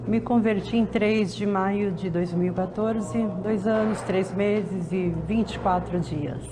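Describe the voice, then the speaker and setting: A woman's voice: medium pitch, fairly even intonation, takes it slowly, background noise. A woman in her fifties telling her story earnestly as an interviewee. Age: 50s. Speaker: interviewee, convert. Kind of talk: recorded testimony.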